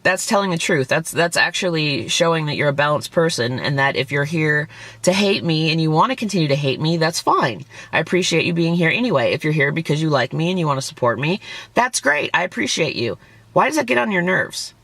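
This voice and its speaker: A hoarse woman with a gravelly, fry-laden voice talks bluntly and defensively, sometimes swearing. She is recorded close and dry, with no room echo. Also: sits low, expressive, a little fast, fairly bright tone. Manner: indignant, blunt, swears when angry. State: hoarse, losing her voice. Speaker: vlogger responding to a critic. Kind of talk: defensive reaction video commentary.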